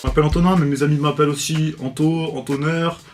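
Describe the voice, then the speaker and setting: A young man speaks quickly in a fairly high voice. He plays the boastful gangster in slangy, sweary chat, with music running underneath. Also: steady tone. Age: teens. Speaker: amateur rapper in a comic duo. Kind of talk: mock gangster interview in slangy casual chat.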